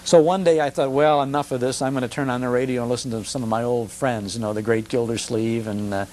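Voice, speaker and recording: A man's voice: medium pitch, steady tone, fast talker, darkish tone, low-quality recording. American man reminiscing fluently, faint hum behind. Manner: fluent storyteller, speaks in emphatic short phrases with rhetorical questions. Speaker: guest, old-time radio broadcaster and enthusiast. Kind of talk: nostalgic conversational interview talk. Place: television studio. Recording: older TV broadcast with faint hiss and hum.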